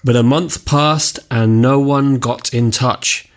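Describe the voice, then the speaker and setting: A man playing an earnest local reporter, narrating a scripted village news story in a measured way for audio drama. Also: medium pitch, fairly even intonation, natural voice.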